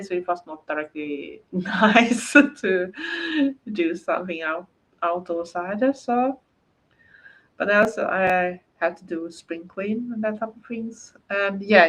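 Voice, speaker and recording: A woman's voice: mid-range voice, poor audio. A woman from Sweden with a Swedish accent, chatting casually on a livestream, speaking English as a second language and rambling with frequent hesitations. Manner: rambling, hesitant, many fillers and restarts. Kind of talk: casual livestream chat, answering viewer comments.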